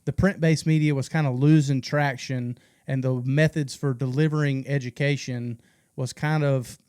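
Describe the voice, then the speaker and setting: A man's voice: mid-range voice, fairly even intonation, normal speed, a little mellow, clear, resonant tone. A man co-hosting a podcast, asking long, winding, informal questions. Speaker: podcast co-host. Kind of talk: casual podcast conversation.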